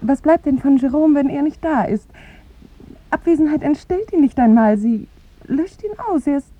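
A woman's voice: sits high, animated, moderate pace. An actress playing a tender, lovestruck young woman in a 1950s German radio play, with wistful, lyrical phrasing that often sinks to a whisper. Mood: rapturous. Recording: muffled, phone-like 1950s radio recording.

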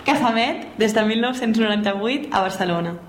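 A woman's voice: medium pitch, brisk. A woman delivering a scripted radio station ident as an announcer. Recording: echoey, clearly noisy background.

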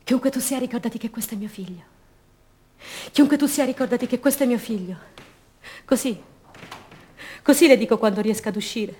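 A woman's voice: on the high side, a little fast, pauses often, a little mellow, breathy edge. An actress playing an anguished, fierce captive mother robbed of her baby, in an intense, insistent radio monologue full of repetition. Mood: hushed resolve.

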